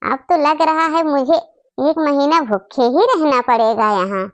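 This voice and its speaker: Cartoon spoiled young wife visiting her parents, played by a woman: boastful, disdainful, high-pitched, dry close sound. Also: measured pace, dry recording. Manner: bragging, complaining. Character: boastful, snobbish young married daughter visiting home. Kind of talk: Hindi family comedy cartoon acting.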